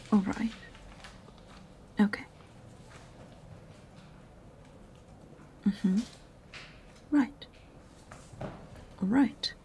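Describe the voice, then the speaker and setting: A woman playing an unenthusiastic, sarcastic witch librarian in an audio roleplay, speaking softly and breathily with a condescending, exasperated manner. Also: mid-range voice, gravelly, a little hiss or hum. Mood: businesslike, distracted. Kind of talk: audio roleplay acting addressed to the listener.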